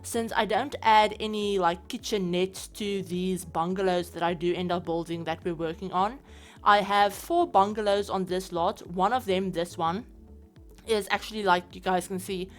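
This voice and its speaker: A woman's voice: mid-range voice, fairly even intonation, normal speed, faint music behind. A woman with a South African accent, chatting casually over her gameplay as a channel host, rambling with lots of fillers. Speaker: game building channel host.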